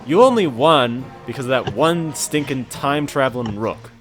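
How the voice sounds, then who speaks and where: A man's voice: mid-range voice, animated, normal speed, soft background music, lightly acted. A man playing a laid-back, wisecracking student gamer in a casual amateur audio drama. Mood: easygoing banter. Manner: casual, joking.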